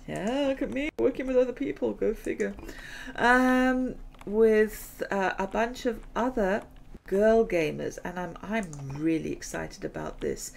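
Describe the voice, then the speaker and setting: Slow, gravelly-voiced woman gamer chatting. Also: medium pitch, slight background noise. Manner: rambling, chatty, laughs often. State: losing her voice. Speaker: gaming channel host. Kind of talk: casual chat over gameplay.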